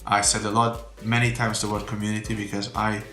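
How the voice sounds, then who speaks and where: A man's voice: fairly low pitch, little pitch movement, a little fast, bright, forceful tone. A man from Romania, giving a heartfelt, faith-filled testimonial in slightly phrasing. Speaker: church member giving a testimonial. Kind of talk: earnest unscripted testimonial. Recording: roomy echo with faint background music.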